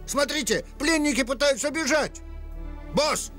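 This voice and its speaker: A man voicing an excitable, crude wolf henchman in a dubbed cartoon. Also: very high-pitched, measured pace, faint music behind, heavily acted. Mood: alarmed.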